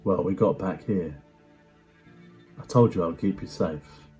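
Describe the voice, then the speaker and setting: A man giving a dramatic first-person story reading in a hushed, measured way with deliberate pauses. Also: low-pitched, soft background music. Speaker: amateur actor performing a story reading.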